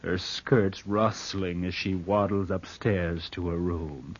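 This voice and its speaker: Scheming bitter heir, low voice, brooding confessional narration, falsely sweet, dull vintage radio recording. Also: male voice, fairly even intonation, slow pace. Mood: bitter, resigned. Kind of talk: old-time radio drama acting, confessional narration.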